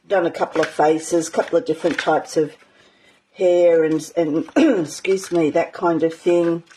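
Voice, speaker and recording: A woman teaching a live art journaling session in a casual, rambling way, with many ums and a slightly croaky voice. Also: lowish voice, mellow, a little hiss or hum, low-quality recording. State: croaky, clearing her throat. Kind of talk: casual live craft demonstration.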